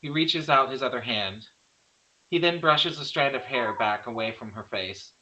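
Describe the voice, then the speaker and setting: A man's voice: medium pitch, normal speed, bright, forceful tone, rough recording. A man reading out screenplay stage directions as a narrator.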